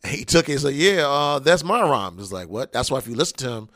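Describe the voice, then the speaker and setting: A man's voice: mid-range voice, big pitch swings, fast, fairly bright tone, no room sound. An American man hosting a casual hip hop interview, informal and affirming, repeating his phrases. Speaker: radio show host.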